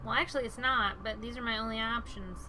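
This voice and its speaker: A woman's voice: fairly high pitch, fast. A woman giving casual, playful commentary as she plays a game, with a silly, jokey manner. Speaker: game streamer. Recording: game music behind the voice.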